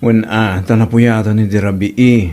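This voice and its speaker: A man's voice: on the low side, fairly even intonation, moderate pace, dark tone, soft background music, lightly acted. A man playing a villager passing on neighborhood news in a comedy drama, with an Ilocano Filipino accent. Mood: chatty, complaining. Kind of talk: amateur comedy radio-style drama.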